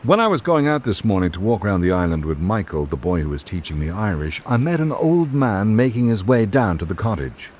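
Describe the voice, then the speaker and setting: An actor playing a reflective literary traveller, narrating a radio drama in a measured, formal way. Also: male voice, lowish voice, big pitch swings, darkish tone, a little hiss or hum. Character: reflective literary traveller recalling island life. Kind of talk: scripted first-person narration.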